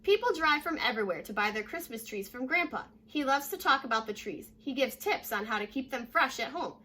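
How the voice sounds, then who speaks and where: A young American woman reading a children's story aloud like a teacher, addressing young listeners directly in a lively way. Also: on the high side, a little fast. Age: young adult. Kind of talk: children's read-aloud with short asides. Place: living room at home, indoors.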